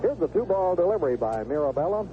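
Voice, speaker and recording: A man's voice: high voice, big pitch swings, quick pace. A man doing live 1970s radio play-by-play of a baseball game, describing the action in short clipped phrases. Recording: noisy, narrow-band vintage AM radio broadcast.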